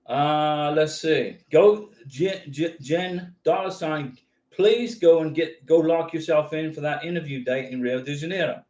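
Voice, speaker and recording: A middle-aged American man hosting a live stream, reading viewer questions aloud and answering in a brisk, coaching way, often repeating words. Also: on the high side, reverberant. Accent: mixed American, no regional accent. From United States, military family.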